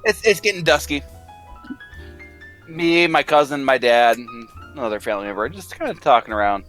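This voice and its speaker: A man's voice: medium pitch, lively intonation, brisk, fairly bright tone. A young man in casual chat, telling anecdotes in a joking, rambling way. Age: young adult. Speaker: show cast member. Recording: music behind. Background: music.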